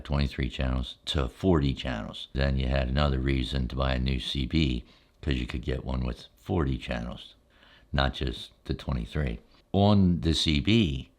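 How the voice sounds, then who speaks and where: Older male, rock-bottom bass pitch, for relaxed ASMR storytelling; casual, halting, folksy delivery. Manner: unhurried, halting phrases with folksy asides.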